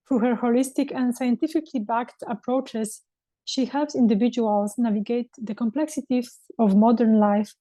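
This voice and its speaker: Mellow podcast host woman. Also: on the high side, rough recording. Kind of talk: scripted podcast intro and interview questions.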